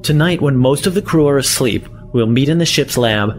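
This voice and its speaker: A man acting a villainous professor in an audio drama, in a mid-pitched male voice. He is condescending and impatient, with a mock-friendly menace. Music plays softly underneath. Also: animated, normal speed, close to an everyday voice. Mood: brisk, scheming.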